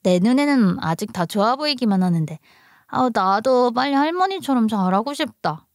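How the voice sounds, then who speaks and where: A woman's voice: fairly high pitch, expressive, normal speed, no echo, studio quality. A woman voicing an earnest little girl, a sincere and childlike eager young cook. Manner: childlike, wide-eyed, sincere.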